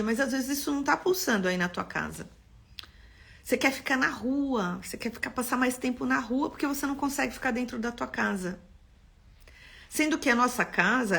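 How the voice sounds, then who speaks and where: A woman from São Paulo with a Paulista accent, an architect teaching an expressive live-stream class. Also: on the high side, vocal fry, breathy edge. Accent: Paulista Brazilian Portuguese. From São Paulo, Brazil. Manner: expressive, greets viewers by name.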